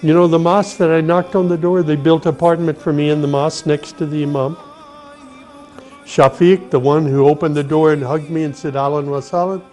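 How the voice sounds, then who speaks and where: Middle-aged guy from the states, earnest and slow, a bit high voice, soft music under it. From United States. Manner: earnest, unhurried, with pauses and restarts. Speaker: guest telling his life story. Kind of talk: personal testimony, reflective storytelling. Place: Saudi Arabia.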